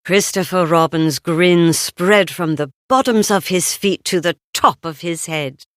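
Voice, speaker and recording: A woman reading a children's storybook aloud in an expressive, deliberate way. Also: lowish voice, dry recording, studio quality. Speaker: storybook narrator. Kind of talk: scripted read-aloud children's story narration.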